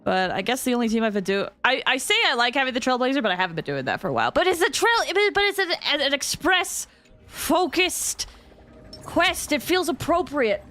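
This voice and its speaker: A woman hosting a game livestream, chatting casually with viewers in an expressive, excitable way and often repeating phrases for emphasis. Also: high voice, fairly quick, soft background music. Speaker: game streamer and host.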